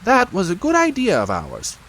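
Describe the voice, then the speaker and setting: A man voicing a fussy, self-important male rabbit who speaks in a brisk, bossy way. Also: sits high, huge pitch swings, a bit bright, pitched above the performer's own voice, full character voice. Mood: officious.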